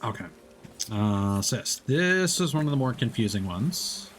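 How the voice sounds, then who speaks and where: A man's voice: mid-range voice, sing-song, a little slow, slight background noise. A man doing casual live gameplay commentary, chatty and thinking aloud. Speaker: game streamer.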